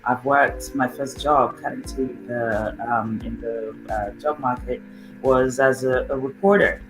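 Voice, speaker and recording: A man's voice: medium pitch, fairly even intonation, a little slow, poor audio. Rambling, chatty man with music behind. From Nigeria. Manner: rambling, many fillers and restarts. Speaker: guest on an online show. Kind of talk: casual self-introduction.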